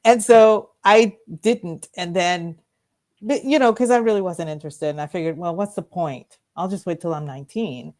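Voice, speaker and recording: A woman's voice: on the low side, moderate pace, a little mellow. A middle-aged woman co-hosting a radio talk show, telling rambling personal stories and laughing often. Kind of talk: casual storytelling chat. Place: radio studio.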